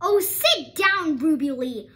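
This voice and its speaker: A woman's voice: sits high, lively intonation, takes it slowly, very noisy. A woman voicing a stern, easily outraged female schoolteacher from a storybook, scolding with indignant emphasis. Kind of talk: storybook character voice.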